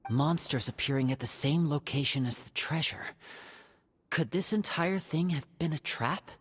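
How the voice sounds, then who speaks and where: An actress playing a level-headed, cautious woman adventurer who speaks in a steady, reasoning, alert way. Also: low voice, normal speed, breathy edge. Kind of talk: game voice acting.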